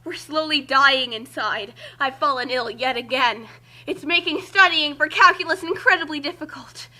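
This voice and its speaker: A woman's voice: sits high, normal speed, bright, forceful tone. A woman co-hosting a casual group reading, bantering and commenting in a theatrical, mock-dramatic way. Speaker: co-host of a group fan-fiction reading. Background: background music.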